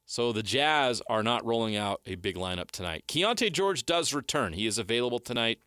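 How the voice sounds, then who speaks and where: A man hosting a sports radio show, brisk as he leads the segments and reads out the news and injury lists. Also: mid-range voice, lively intonation, pushed and bright, clean tone, no room sound, clean studio sound. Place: radio studio. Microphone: studio microphone.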